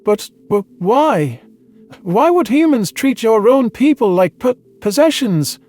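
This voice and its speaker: A man's voice: very high voice, big pitch swings, darkish tone, soft background music, exaggerated voice. A man voicing a frightened, distrustful male alien, a former exterminator, who stammers and stumbles over his words. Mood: anxious. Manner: stammering, halting. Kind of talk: audiobook character voice.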